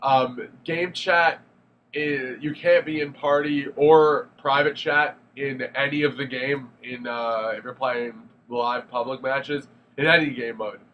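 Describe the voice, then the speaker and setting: A young man with a cold talks through a game review in a loose, rambling way, voice stuffy and nasal from congestion. He sits at a middle pitch, stumbling through fillers and false starts. Faint noise hums under the recording. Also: fairly even intonation, slow, muffled recording. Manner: rambling, frequent fillers and restarts. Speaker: gaming video reviewer. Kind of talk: casual spoken game review.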